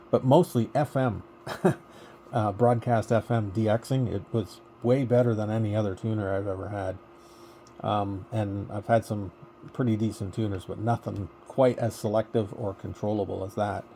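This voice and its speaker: Male radio hobbyist, dark relaxed tone, casual and hesitant, thinking out loud, slightly noisy signal. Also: fairly low pitch. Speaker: amateur radio operator. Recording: noisy amateur radio digital voice contact.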